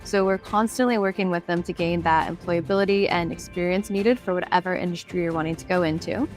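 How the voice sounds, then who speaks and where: A woman's voice: on the low side, steady tone, fairly quick. A woman student from the United States giving a casual promotional testimonial. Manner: casual, conversational. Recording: music bed behind voice.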